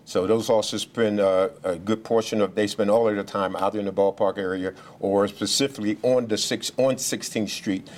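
A police official giving remarks at a press briefing, speaking loudly and haltingly, with many fillers and restarts. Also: male voice, on the low side, steady tone. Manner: speaks loudly without a mic.

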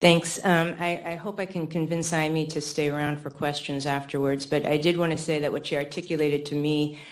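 Careful, halting woman moderator. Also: deep voice, little pitch movement. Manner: careful, halting, repeats words for emphasis. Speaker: presenter moderating testimony at a public hearing. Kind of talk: semi-formal interviewing and remarks.

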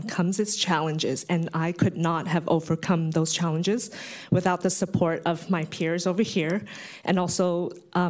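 A social worker whose first language is Cantonese, speaking into a microphone at a commission meeting and giving a grateful, heartfelt award acceptance speech. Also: female voice, lowish voice.

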